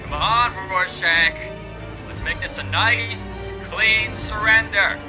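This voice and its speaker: A man playing a commanding police officer in a comic drama, calling out demands in a deliberate, authoritative way. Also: high voice, over music. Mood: stern. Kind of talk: dramatized comic adaptation acting.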